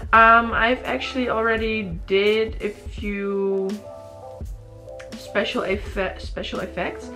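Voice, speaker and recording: A young woman, chatting casually to camera in her bedroom, a little sleepy and raspy, rambling with many fillers and restarts. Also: a little high, pushed and bright. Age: young adult. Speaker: beauty vlogger. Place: small bedroom indoors. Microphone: camera in front at close range.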